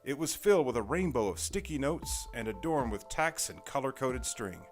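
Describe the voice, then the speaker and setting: A man's voice: medium pitch, expressive, normal speed, soft background music. A man reading scripted narration for an audio drama as its narrator.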